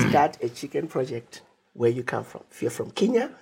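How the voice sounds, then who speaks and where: A woman's voice: a bass voice, sing-song, normal speed, creaky, warm tone, breathy edge. A woman from Zimbabwe, an interview guest who speaks emphatically, laying out plans as lists and retelling conversations. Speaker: interview guest, Pan-African advocate.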